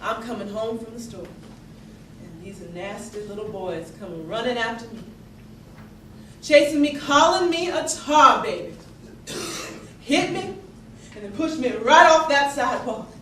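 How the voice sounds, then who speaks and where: A woman's voice: fairly high pitch, lively intonation, fairly bright tone, far from the mic. A woman playing an earnest young enslaved woman from the American South, telling her story in a folksy, emphatic theatrical monologue with a Southern accent. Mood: hurt, earnest. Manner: folksy, emphatic, halting storytelling with dramatic swells. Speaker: stage actor. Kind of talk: theatrical monologue, 19th-century period storytelling. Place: indoor theatre stage, recorded from a distance. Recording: echoey theatre stage from afar, noisy.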